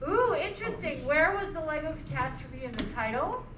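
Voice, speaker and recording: A woman's voice: high voice, big pitch swings, brisk, muffled recording. A woman facilitating a play reading, encouraging and often asking questions as she reads and leads discussion. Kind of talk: reading stage directions, leading group discussion. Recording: distant mic in noisy, echoey room.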